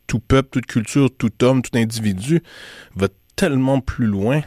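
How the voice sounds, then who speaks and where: A man's voice: medium pitch, normal speed, darkish tone, no echo, studio quality. A man with a Quebec French accent hosting a current affairs radio discussion, telling anecdotes and giving his opinions in a reflective way. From Quebec, Canada. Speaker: radio host. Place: radio studio.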